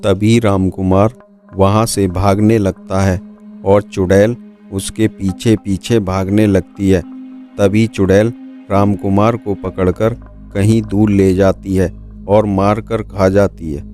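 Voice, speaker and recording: Guy narrating a spooky cartoon folk tale, lowish and really unhurried, not much melody, music behind. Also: level tone, mellow. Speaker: storyteller.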